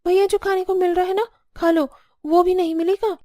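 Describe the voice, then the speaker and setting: A woman's voice: extremely high pitch, little pitch movement, brisk, dark tone. A woman playing a spoiled, complaining young married daughter in a cartoon. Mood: snappy. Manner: complaining, indignant. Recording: background music.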